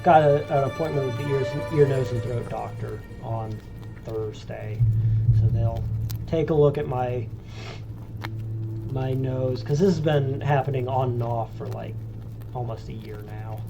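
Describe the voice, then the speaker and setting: A man's voice: medium pitch, a little slow, vocal fry, poor audio. A man with a congested, phlegmy voice, giving chatty, joking commentary as he streams a video game. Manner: chatty and joking, rambling recaps. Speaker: let's play streamer. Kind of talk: casual gameplay commentary. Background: game music.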